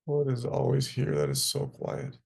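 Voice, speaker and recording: A man leading a guided meditation as a teacher, speaking in hushed, sparse phrases with long pauses. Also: medium pitch, sing-song, vocal fry, breathy edge.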